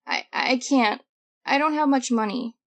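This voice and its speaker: Woman acting an earnest, high-voiced twelve-year-old girl. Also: unhurried. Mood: worried, earnest. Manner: hesitant, earnest, indignant. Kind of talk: audio drama acting.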